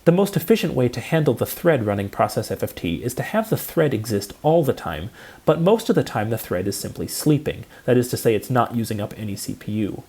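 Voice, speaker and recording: A man giving a scripted technical lecture, explaining in a measured and precise way. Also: mid-range voice, sing-song, dark tone, slightly rough.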